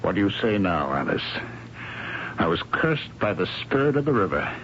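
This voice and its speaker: An actor playing an anxious, superstitious and stubborn engineer in a radio drama, brooding and hesitant. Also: male voice, low voice, very animated, moderate pace, fairly bright tone. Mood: intense, insistent. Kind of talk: 1970s radio drama acting. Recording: muffled, slightly noisy 1970s radio broadcast.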